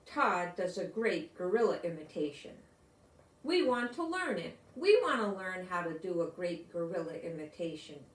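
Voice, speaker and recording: A woman's voice: fairly low pitch, big pitch swings, normal speed. A woman voicing eager, friendly schoolchildren in a storybook, speaking in earnest, simple declarations. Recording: roomy echo, distant microphone.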